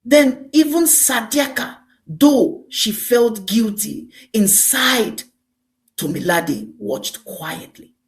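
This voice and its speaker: Slow, deliberate woman storyteller. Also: on the high side, expressive. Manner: deliberate, emphatic short phrases with repetition. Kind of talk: scripted dramatic folktale narration.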